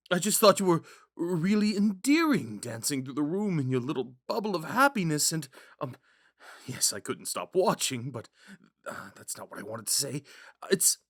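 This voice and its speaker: A man playing a kind, shy young prince in a romantic ASMR roleplay, speaking gently and hesitantly. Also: a little high, very animated, moderate pace, dry recording, clean studio sound. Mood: flustered, apologetic. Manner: soft-spoken, hesitant, stammering when flustered. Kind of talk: ASMR roleplay, scripted monologue to a listener.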